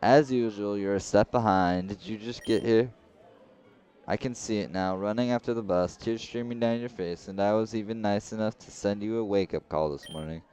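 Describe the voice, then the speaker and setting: A man's voice: on the low side, moderate pace, darkish tone, smooth, lightly acted. A man reading the lines of a cocky teenage rival boy, taunting and smug. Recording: slightly dull lo-fi recording.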